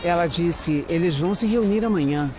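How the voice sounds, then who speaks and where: A Brazilian woman playing a composed, analytical detective who narrates her case in an audio drama with measured, deliberate delivery. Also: lowish voice, lightly acted. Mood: focused, wary. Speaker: lead actress and narrator. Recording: loud music and street sounds behind. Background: music, urban street ambience with vehicles.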